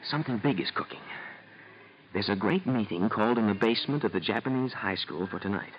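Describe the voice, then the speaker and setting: A man's voice: fairly low pitch, brisk, close to an everyday voice. An actor playing an earnest, anxious young male informant in clipped, respectful 1940s radio style. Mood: urgent. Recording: muffled old 1940s radio recording.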